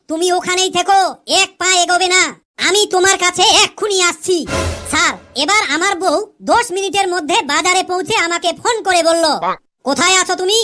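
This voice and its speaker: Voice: very high-pitched, bright, forceful tone. A performer voicing a cheeky cartoon schoolboy who tells stories to a teacher in a childlike, declamatory way. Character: cheeky schoolboy pupil telling husband-and-wife jokes to teacher. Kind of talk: Bengali comedy cartoon voice acting.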